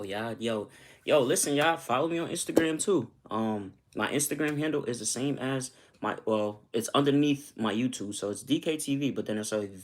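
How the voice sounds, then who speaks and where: Casual YouTuber man, low and gravelly, rambling and chatty with his audience. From Costa Rica. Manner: loose, chatty, repeats "you know what I'm saying". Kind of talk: casual livestream chat with viewers.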